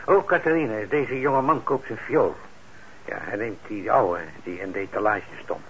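An actor in a radio play as a kindly, trusting middle-aged shopkeeper, speaking gently and haltingly with patient encouragement. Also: male voice, lowish voice. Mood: obliging. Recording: dull, noisy old radio recording.

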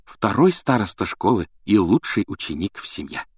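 A man voicing a bustling, strict mother of a big family in a storybook, brisk, proud and quick to scold. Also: medium pitch, sing-song, no echo, clean studio sound, voice pushed up, natural voice.

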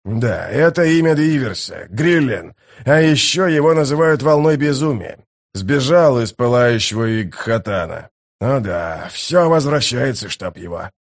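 Man voicing a hoarse, gravelly wounded soldier. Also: mid-range voice, expressive, unhurried. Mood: pained muttering. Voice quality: hoarse, raspy. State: strained, in pain. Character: wounded male sapper soldier, gruff, sardonic, cursing. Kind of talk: audiobook character dialogue.

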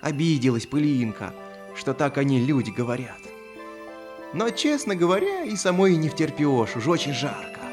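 A man narrating a children's fairy tale as a storyteller, theatrical and addressed to small listeners. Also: fairly high pitch. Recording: music behind the voice.

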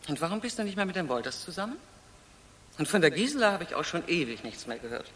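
A woman's voice: lowish voice, big pitch swings, quick pace, fairly bright tone, a little hiss or hum. An older woman acting a reproachful, needling mother who scolds her grown daughter in a radio crime drama.

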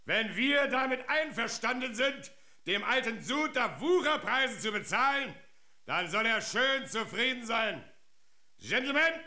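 An actor playing a brash, domineering male gold digger in a radio drama, declaiming loudly like a bullying auctioneer. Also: extremely high pitch, heavily acted.

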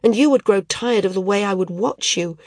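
A woman's voice: medium pitch, brisk, a little mellow, no echo, studio quality, lightly acted. A woman reading an audiobook, voicing a proud young female flyer who pleads and breaks off mid-sentence. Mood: anguished pleading.

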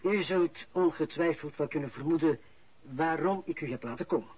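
An actor playing a courteous, sardonic company boss in a radio drama, speaking with measured, ironic pauses. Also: male voice, fairly high pitch, husky. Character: smooth-talking sardonic male company boss. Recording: dull lo-fi radio play recording.

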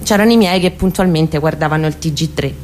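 Low-voiced Roman woman telling a story. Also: a little hiss or hum. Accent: central Italian, Roman. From near Rome, Italy. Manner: expressive, intimate, deliberate phrasing with hushed moments. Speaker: monologue performer. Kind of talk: dramatic autobiographical storytelling monologue.

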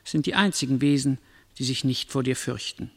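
A man's voice: medium pitch, fairly quick, a bit bright. A man playing a stern, mocking narrator of doom, declaiming solemnly and incantatorily in a literary radio drama. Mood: solemn, ominous. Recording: long cavernous reverb.

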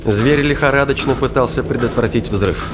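A man's voice: mid-range voice, moderate pace, over music. A man giving a scripted, dramatic narration of a science fiction story. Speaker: audiobook narrator.

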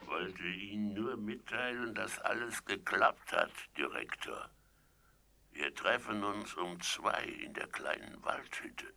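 Creaky, gloating master thief. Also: male voice, lowish voice, takes it slowly, over music. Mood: sly. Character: gloating male master thief, cocky, excitable cartoon villain. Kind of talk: German children's audio play acting.

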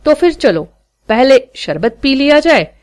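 A woman storyteller voicing a curious, kindly gentleman who asks questions and makes invitations in an inquisitive way. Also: high-pitched, very animated, a little slow, pitched above the performer's own voice. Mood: inviting.

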